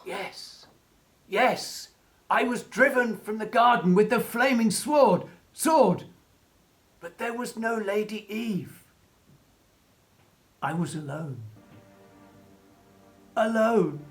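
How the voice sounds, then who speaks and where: An actor playing a reanimated creature in a radio play, childlike and tormented, speaking haltingly and repeating words with effort. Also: mid-range voice, animated, faint music behind. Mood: anguished, insistent.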